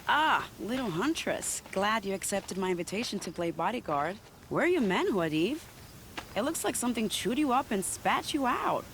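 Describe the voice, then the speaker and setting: A woman's voice: medium pitch, sing-song, normal speed, a bit bright, slight background noise, rough recording. A woman playing a confident, playful spy and schemer in a video game, with a wry, silky, teasing manner. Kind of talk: dramatic video game acting.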